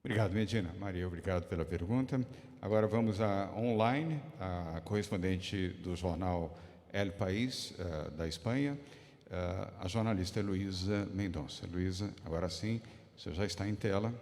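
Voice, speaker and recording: A man's voice: low-pitched, darkish tone. A man moderating a press conference, courteously calling on journalists. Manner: courteous, brisk announcing. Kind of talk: moderating questions. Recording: reverberant hall.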